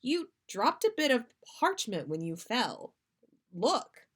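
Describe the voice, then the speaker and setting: A woman's voice: fairly high pitch, very animated, slow, pitched above the performer's own voice, exaggerated voice. Female reader playing young man, cheeky, breezy, unruffled, storybook dialogue. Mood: breezy, nonchalant. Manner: magnanimous, breezy.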